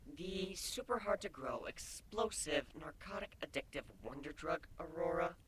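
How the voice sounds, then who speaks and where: A man voicing a young female changeling in a story reading, gentle, teasing and inquisitive, with soft breathy delivery. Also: on the high side, measured pace, a little hiss or hum, pitched above the performer's own voice. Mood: incredulous.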